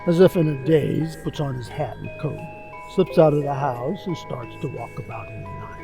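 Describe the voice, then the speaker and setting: A man narrating a radio mystery drama in a measured storytelling manner. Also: medium pitch, lively intonation, gravelly, over music. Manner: measured storytelling in present tense.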